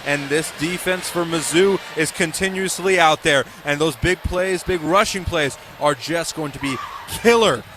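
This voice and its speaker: A male American color analyst with a fairly high voice comments on live football for radio. He is emphatic and opinionated about the play. Strong outdoor stadium noise sits behind his voice. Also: measured pace, few pauses, fairly bright tone.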